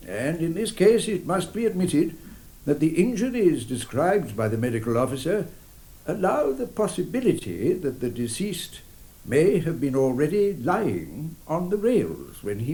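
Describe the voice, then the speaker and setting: A man playing a grave English coroner in a radio drama, speaking formally and with measured emphasis. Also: fairly high pitch, gravelly, dark tone, full character voice, low-quality recording. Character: grave, deliberate male coroner summing up at an inquest. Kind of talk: British radio drama courtroom speech.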